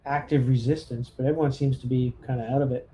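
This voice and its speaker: A man's voice: mid-range voice, fairly even intonation, quick pace, dark tone, a little hiss or hum. A man chatting casually as a player in a tabletop roleplaying game, talking through his moves.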